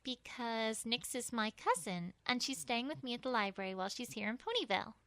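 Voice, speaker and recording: A woman acting studious young mare, friendly, high lively voice, earnest, articulate, storybook dialogue. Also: a little fast, no room sound. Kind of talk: acted audio story dialogue.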